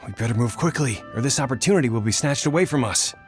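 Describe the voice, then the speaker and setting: A man's voice: mid-range voice, expressive, fast, natural voice. A man playing a humble, anxious businessman in a video game, with a polite, earnest delivery. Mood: calculating, urgent. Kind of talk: scripted video game dialogue. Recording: faint music behind the voice.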